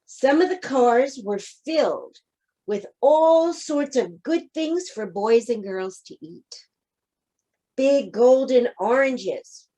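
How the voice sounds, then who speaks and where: Woman storyteller for preschool read-aloud: very slow pacing, higher pitch, expressive direct address. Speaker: storybook reader. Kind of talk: children's picture-book read-aloud with asides.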